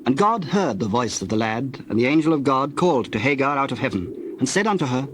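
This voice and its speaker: A man narrating scripture from a script in a solemn, measured manner. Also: mid-range voice, very animated, noisy background.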